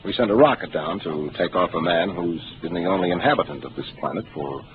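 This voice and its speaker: An actor playing a calm, seasoned starship captain who speaks in a measured, authoritative way, in a 1950s radio drama. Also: male voice, low-pitched, natural voice. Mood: explaining. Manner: measured, authoritative, explaining patiently. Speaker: ship captain. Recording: hissy narrow 1950s radio broadcast.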